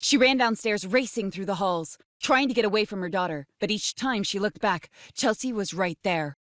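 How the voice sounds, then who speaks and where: A woman's voice: mid-range voice, moderate pace, fairly bright tone, dry recording. Close, dry woman narrating horror. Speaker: audiobook narrator. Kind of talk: dramatic scripted horror narration.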